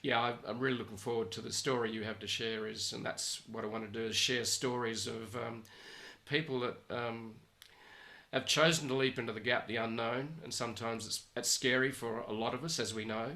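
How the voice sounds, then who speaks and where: An Australian man hosting a conversational interview show, speaking in a halting, rambling way. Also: mid-range voice, steady tone, bright, forceful tone. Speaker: podcast host.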